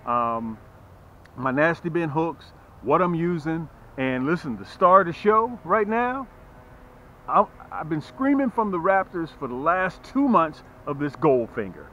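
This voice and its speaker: A man with a fairly high, very lively voice talks to the camera as a fishing host and tackle seller. He is folksy and emphatic, repeating himself to drive points home. The sound is slightly muffled with some background noise. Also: unhurried. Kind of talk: casual sales pitch to camera.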